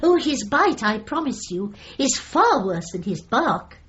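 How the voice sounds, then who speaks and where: An actress playing a flustered, genteel, long-suffering widow in a comic radio drama, speaking in a fussy, politely exclaiming way. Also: female voice, a little high, sing-song, a little slow, fairly bright tone, highs cut off.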